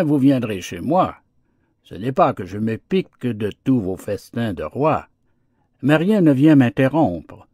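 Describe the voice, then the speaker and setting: A man's voice: medium pitch, mellow, slightly rough, dry recording, studio quality, exaggerated voice. A man voicing a plain-living, contented country rat in a storybook fable, frank and unpretentious.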